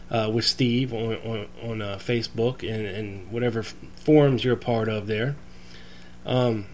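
A man's voice: mid-range voice, a little hiss or hum. A man hosting a casual interview podcast, friendly and chuckling with plenty of fillers. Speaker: podcast host.

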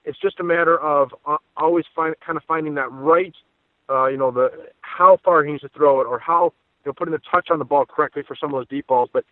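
A man's voice: fairly high pitch, quick pace, no room sound. A man giving emphatic, conversational sports analysis as an opinionated guest on a podcast. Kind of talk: sports podcast interview commentary. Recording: dry, phone-like narrow band.

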